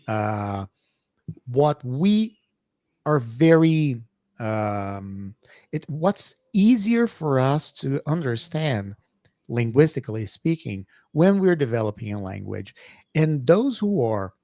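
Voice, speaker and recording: A middle-aged Brazilian professor with a Brazilian Portuguese accent, co-hosting an educational podcast in a hesitant, filler-heavy manner. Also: male voice, mid-range voice, sing-song, slow pace, warm tone, no echo, studio quality. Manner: hesitant, many fillers and restarts. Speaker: co-host, professor. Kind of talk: casual educational podcast chat.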